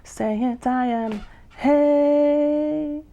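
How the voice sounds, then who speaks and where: A woman poet delivering spoken-word verse very slowly, stretching phrases and humming between lines. Her voice sits high. The recording sounds dull and lo-fi. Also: warm tone. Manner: slow, drawn-out delivery with long pauses and hums. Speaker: poet and vocalist guest. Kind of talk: slow spoken-word poetry with sung lines.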